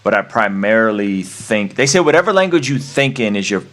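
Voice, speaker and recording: A man's voice: fairly low pitch, big pitch swings, fairly quick, a bit bright. A man whose first language is Russian, hosting a casual interview, enthusiastic and affirming. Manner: affirming, lots of "yeah", enthusiastic. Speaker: podcast host.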